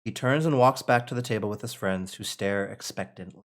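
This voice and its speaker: A man's voice: lowish voice, a little fast. A man narrating stage directions as part of a script table read.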